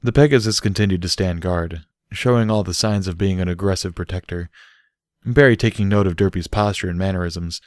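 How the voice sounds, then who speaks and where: A man's voice: low-pitched, brisk, dry recording, clean studio sound. A man reading a story aloud as scripted audiobook narration. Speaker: audiobook reader.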